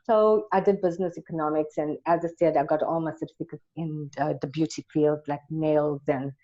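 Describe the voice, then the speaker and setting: A South African woman speaks as an interview guest in a deep, dark-toned voice. She talks conversationally and earnestly about herself and her work. The audio is dull and lo-fi. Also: steady tone, fairly quick. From Durban, South Africa.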